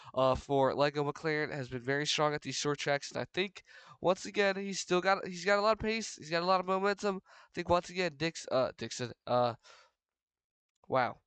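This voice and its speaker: A young man with a stuffy, congested voice runs through the standings before a race, stumbling into fillers and restarts as he goes. His voice sits a little high and comes through very smooth and clear. The sound is dry and close, with no room around it. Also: fairly bright tone, no echo. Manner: rambling, filler-heavy, frequent restarts. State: congested from a cold. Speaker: race commentator for a sim racing league. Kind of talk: solo race preview commentary.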